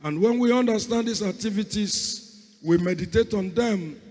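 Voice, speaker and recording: A male preacher who has lost his voice, hoarse and fervent, opening with a prayer and going on to a sermon. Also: high-pitched, normal speed, darkish tone, in a large hall. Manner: fervent, repeats calls to God. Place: church hall.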